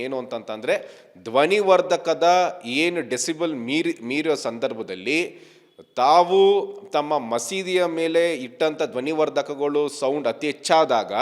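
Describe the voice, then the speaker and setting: Emphatic man in reverberant sound. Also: a little high. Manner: emphatic, repeats phrases for stress. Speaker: activist leader being interviewed. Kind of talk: forceful statement to a news reporter.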